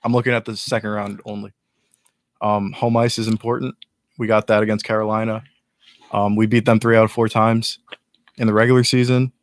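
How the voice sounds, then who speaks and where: A young man with a lowish voice talks earnestly and at length as a guest, stumbling and restarting as he explains. The recording is close and dry, with no room sound. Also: level tone, a little fast, no room sound. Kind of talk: casual sports chat.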